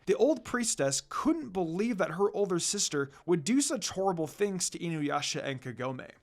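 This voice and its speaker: A woman's voice: lowish voice, very animated, normal speed, darkish tone. A woman reading fan fiction aloud as an amateur narrator, telling the story in a dramatic storytelling way.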